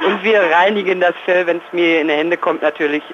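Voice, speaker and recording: A man with a high voice, amused and playful as he chats casually from a shop. The phone line is dull and clearly noisy. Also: steady tone, fairly quick.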